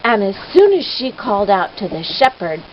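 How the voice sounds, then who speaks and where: A woman telling a dramatic story for children in a theatrical, expressive way. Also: medium pitch, normal speed. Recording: dull noisy lo-fi home recording.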